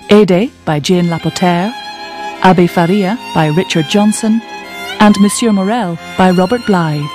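Woman reading credits over violin. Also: medium pitch. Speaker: announcer. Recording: violin music under the voice.

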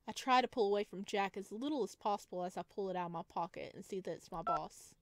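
A woman's voice: medium pitch, fast. A woman hosting a gaming video, reading visual novel narration expressively with casual asides.

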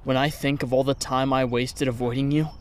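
A man's voice: mid-range voice, little pitch movement, fairly quick, smooth, natural voice. Peter, an easygoing young man, as a man voices him, talks in a casual, teasing way. His mid-range voice sounds natural in a fan comic dub.

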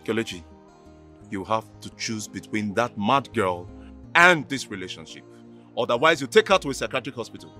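An actor with a Nigerian accent playing an impatient, demanding young fiancé in a film drama, insistent and stern. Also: male voice, on the low side, animated, normal speed, sharp tone, soft background music. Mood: cold ultimatum.

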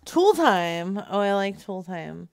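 Slow, crude-talking woman prank caller. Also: medium pitch, sing-song, vocal fry, no echo, clean studio sound. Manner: crude, teasing, rambling. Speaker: prank call show host. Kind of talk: casual improvised prank calling.

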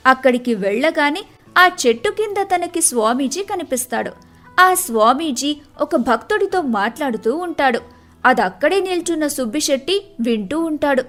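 A woman's voice: high-pitched, animated, moderate pace, fairly bright tone, soft background music. A woman reading scripted narration for a moral tale as the storyteller.

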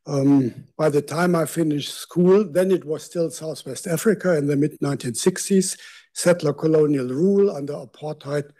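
A man's voice: on the high side, moderate pace, mellow. An older man with a German accent, giving a public lecture from a lectern microphone in a university lecture hall, speaking conversationally with self-deprecating asides. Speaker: guest lecturer.

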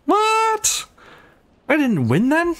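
A man voicing a feisty, hot-tempered young athletic woman in a game, emphatic and indignant, in a high girlish tone. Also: huge pitch swings, very slow, fairly bright tone, heavily acted. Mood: outraged.

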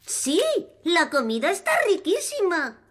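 A woman's voice: very high-pitched, very animated, a little slow, exaggerated voice. A woman voicing an earnest, cheerful little elf boy in a children's cartoon. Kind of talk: animated children's cartoon dub.

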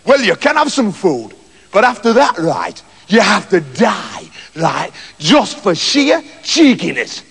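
A man voicing a gruff male robber who speaks in a slow, menacing way, in a storybook reading. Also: high voice, sing-song, a bit bright, in a large hall, heavily acted.

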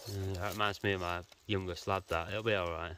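A man's voice: sits low, fairly even intonation, fairly quick, sharp tone, slight background noise. A middle-aged man, chatting outdoors and telling stories, calling his friend mate. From England. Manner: chatty storyteller, calls people mate. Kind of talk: casual chat. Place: outdoors by rocks.

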